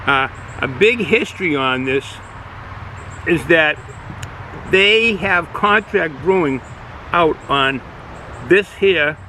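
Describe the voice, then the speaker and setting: A middle-aged man with a Boston accent, casually reviewing a beer outdoors, rambling and halting with many fillers. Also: fairly high pitch, lively intonation, bright, forceful tone, a little hiss or hum, rough recording. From Boston area, United States. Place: outdoors, sun in his eyes.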